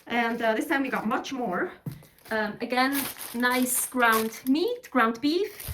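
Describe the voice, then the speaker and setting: Woman, 30s, from Switzerland; chatty, emphatic vlogger, slightly high voice, roomy echo. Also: expressive, slow, fairly bright tone, a little hiss or hum. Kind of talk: casual vlog narration.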